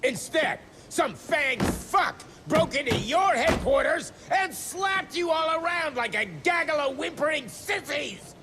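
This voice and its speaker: A high, creaky-voiced official in a game cutscene, foul-mouthed and bullying. His delivery swings wildly in pitch as he sneers indignantly, with some noise behind him. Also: lively intonation, unhurried, few pauses, raised voice, exaggerated voice. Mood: furious. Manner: profane, sneering, indignant tirades. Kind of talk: video game cutscene acting.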